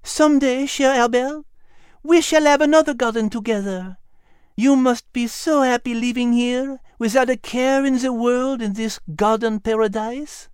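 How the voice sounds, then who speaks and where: A man puts on a refined French female cat from a storybook, pitched far up from a natural speaking voice. She is affectionate, gracious and gently amused, with French endearments throughout. The recording is close and dry. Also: fairly even intonation, measured pace, smooth, no room sound. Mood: tender, wistful. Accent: put-on French.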